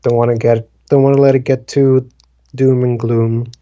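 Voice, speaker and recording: A man's voice: medium pitch, level tone, moderate pace, dark tone, muffled recording. A man in his twenties from the Dominican Republic, chatting casually and rambling with many fillers like "like" and "um". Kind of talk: casual conversation.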